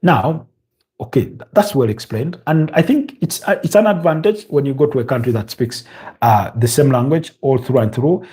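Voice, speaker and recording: A Ugandan man runs a relaxed football news stream and answers viewer comments between scores. His voice is dark and mellow. He talks loosely, fills gaps with ums and uhs, and stops to recall names. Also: mid-range voice. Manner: loose and unscripted, many fillers, pauses to recall names. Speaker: host of a football news livestream. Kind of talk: casual live commentary reading viewer comments.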